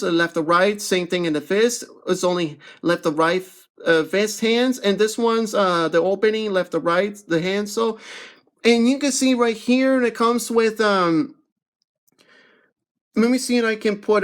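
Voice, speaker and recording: A man giving a casual, rambling unboxing review as a toy reviewer, with halting, repetitive phrasing. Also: sits high, fairly bright tone.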